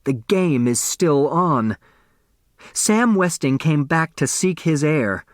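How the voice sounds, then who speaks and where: A man's voice: a little high, animated, takes it slowly, dry recording, studio quality. A man narrating a novel as an audiobook reader, with dramatic scripted delivery.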